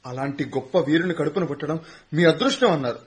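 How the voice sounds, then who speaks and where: A respectful young student in a radio drama, played by a man. He speaks earnestly and solemnly. His voice sits a little high. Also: animated, fast, natural voice. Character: young college student, a fallen soldier's son. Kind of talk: radio play acting.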